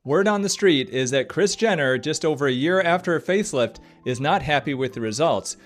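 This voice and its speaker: A man giving a scripted commentary on a social video, brisk and explanatory, posing rhetorical questions. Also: on the high side, animated, soft background music. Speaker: cosmetic surgeon commentator.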